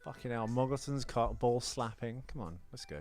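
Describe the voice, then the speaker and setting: A man's voice: mid-range voice, normal speed, a little mellow, soft background music. A man co-hosting a film podcast in casual banter, with a dry, joking, pedantic manner.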